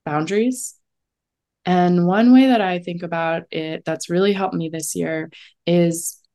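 A woman with a slightly low voice talks as an astrologer guest in an easygoing interview. She is reflective and unhurried, and she often drops in a casual "like" between her thoughts. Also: darkish tone.

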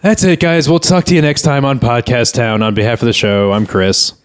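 A man's voice: mid-range voice, fairly quick, clear, resonant tone. A man in his thirties hosting a podcast in casual banter, with a dry, exasperated way of objecting. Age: 30s. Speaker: podcast host.

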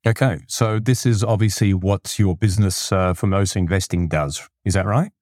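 A male podcast host with a deep, low voice. He asks questions haltingly, with fillers and false starts. The recording is dry and close. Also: a little mellow, dry recording. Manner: hesitant questions with fillers, brief backchannels. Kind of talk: interview questions.

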